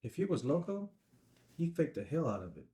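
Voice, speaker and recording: An actor playing a laid-back, wary small-town cop in a table read, speaking in clipped colloquial phrases. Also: male voice, fairly high pitch, big pitch swings, a little fast, mellow. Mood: wry, resentful. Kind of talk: filmed screenplay table read.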